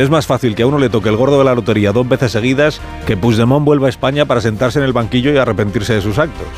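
Male radio host, Castilian accent. Wry, chatty press review delivered over a clear music bed. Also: mid-range voice. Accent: Castilian Spanish. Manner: conversational, wry asides and rhetorical questions. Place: radio studio. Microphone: studio broadcast microphone.

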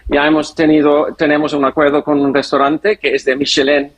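A man with a foreign accent in non-native Spanish, answering an interview haltingly and searching for words. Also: a little high, little pitch movement. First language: not Spanish. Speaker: interview guest, airline executive.

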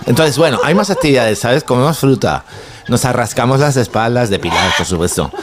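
A man's voice: mid-range voice, expressive, fairly quick, noisy background, natural voice. A man from playing a dim, giggly organizer of an ape-bonding retreat, rambling and mangling words with English thrown in, in a radio comedy sketch.

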